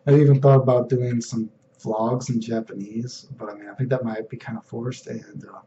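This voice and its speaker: A young man with a deep voice, rambling casually in a personal vlog, full of fillers and "you know what I mean.". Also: fairly even intonation, moderate pace, dark tone, highs cut off, a little hiss or hum. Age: young adult. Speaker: vlogger.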